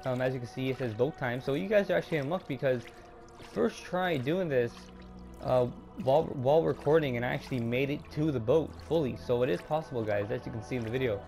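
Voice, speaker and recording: A young man narrating a gameplay walkthrough casually, hesitating a lot with ums and restarts, his throat a little raspy. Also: mid-range voice, warm tone, soft background music. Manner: halting, many ums and restarts. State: slightly raspy throat, clearing it. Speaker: gaming YouTuber.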